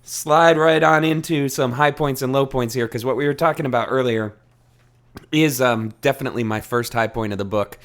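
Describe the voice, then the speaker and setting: A man's voice: medium pitch, moderate pace. A man co-hosting a casual book discussion, speaking informally with slang and steering the talk along. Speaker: podcast co-host.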